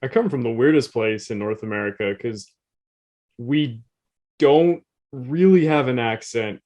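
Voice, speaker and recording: A man's voice: mid-range voice, measured pace, a little mellow. A man from Quebec chatting on a podcast in a laid-back way, often trailing off and restarting his sentences. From Quebec, Canada. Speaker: podcast co-host. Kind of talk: casual chat.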